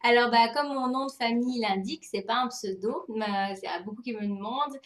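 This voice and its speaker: A woman's voice: a little high, fairly quick. A woman in her forties from France, an interview guest talking casually and telling personal stories in a roomy space. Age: 40s. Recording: roomy, echoey sound.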